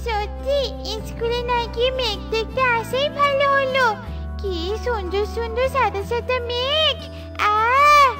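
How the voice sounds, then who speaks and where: A woman voicing an excitable, wide-eyed little schoolchild bird in a children's cartoon, gushing as she speaks. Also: extremely high pitch, a little slow, recorded from a distance, over music. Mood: awed, delighted.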